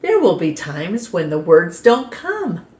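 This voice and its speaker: A woman reading a picture book aloud at storytime in a gentle, expressive way. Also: on the low side, slow pace. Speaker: storytime reader. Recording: roomy echo.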